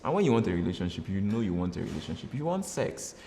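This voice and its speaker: A man's voice: low voice, big pitch swings, fast talker, warm tone. A Nigerian man giving blunt, frank interview advice. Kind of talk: candid interview answers. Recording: long reverb, faint hiss.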